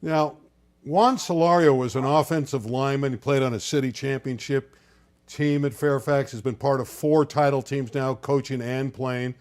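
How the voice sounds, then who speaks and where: A man's voice: mid-range voice, fairly even intonation, moderate pace, a little mellow. A man hosting a sports talk show, asking guests questions in an upbeat way.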